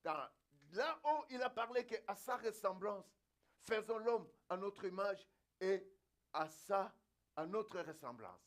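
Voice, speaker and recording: A man's voice: sits high, lively intonation, slow. A male pastor preaching a sermon in an emphatic, exhorting and repetitive way.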